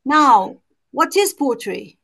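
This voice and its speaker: A woman from Morocco, reading a prepared academic paper at a conference over a video call in a careful, measured way with occasional hesitant restarts. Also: sits high, lively intonation, creaky, bright, forceful tone, slight background noise. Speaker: conference presenter. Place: home or office on a video call.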